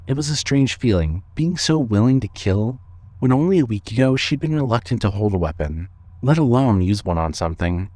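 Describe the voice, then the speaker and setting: A man's voice: medium pitch, animated, fairly quick, darkish tone, no echo. A man narrating a scripted fiction story as the narrator of an audio drama.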